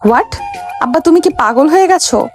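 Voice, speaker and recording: A woman acts a bossy young heiress with a very high voice. She is imperious, indignant and quick to scold, in romantic audio drama style with light music underneath. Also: expressive, darkish tone. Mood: outraged disbelief. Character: haughty, hot-tempered rich young woman, a bossy heiress. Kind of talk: audio love story dramatic acting.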